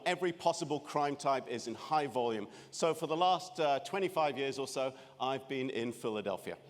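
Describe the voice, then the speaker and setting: Wry Scottish man in echoing hall. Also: medium pitch. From Scotland. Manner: wry, self-deprecating, many restarts. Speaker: keynote speaker. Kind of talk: humorous keynote talk. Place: large conference room. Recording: reverberant conference hall.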